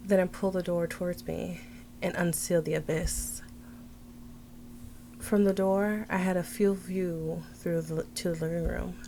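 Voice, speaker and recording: A woman reading a visual novel aloud with casual side remarks, halting and stumbling over words now and then. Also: on the low side, fairly even intonation, creaky, dark tone. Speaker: video game streamer reading aloud and commenting. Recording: very noisy home recording.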